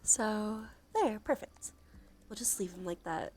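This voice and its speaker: A soft-spoken woman chatting on a cozy ASMR livestream, with a creaky voice that often slips into a breathy whisper. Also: mid-range voice, lively intonation, measured pace. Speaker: streamer.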